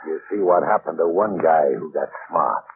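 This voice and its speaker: An actor playing a swaggering, sadistic killer in an old-time radio crime drama, talking in menacing tough gangster slang. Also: male voice, rumbling low, moderate pace. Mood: gloating, menacing. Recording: tinny, noisy old radio recording.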